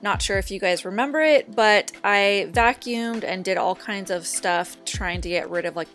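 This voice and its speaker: A young woman narrating her own home video in a chatty, relaxed voice-over, talking straight to her viewers. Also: medium pitch. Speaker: vlogger. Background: faint background music.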